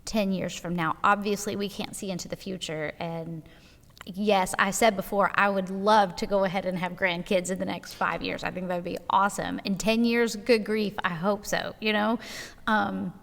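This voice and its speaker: A woman in her forties talks in a loose, rambling way, full of "you know" and "like". Her voice sits at an ordinary middle pitch. The room carries a long, echoing reverb. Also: fairly even intonation, normal speed, creaky, a bit bright. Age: 40s. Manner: chatty, rambling with many fillers. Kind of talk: casual church podcast conversation.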